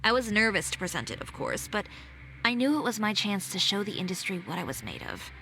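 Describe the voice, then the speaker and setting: An actress voicing a composed adult female fashion designer who speaks in a calm, measured way. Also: medium pitch, creaky, slight background noise, exaggerated voice. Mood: reflective. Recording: game audio with faint music.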